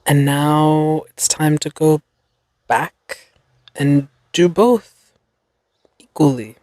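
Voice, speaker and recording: Young woman, solo podcast host, slow and meandering delivery, dry close mic. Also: low-pitched, a little mellow, dry recording. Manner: slow, reflective, rambling with fillers. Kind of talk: casual solo podcast monologue.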